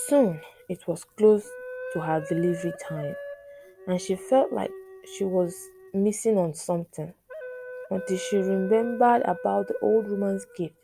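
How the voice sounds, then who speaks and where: A woman storyteller reading a scripted folktale in measured, separate phrases. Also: mid-range voice, creaky. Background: flute music.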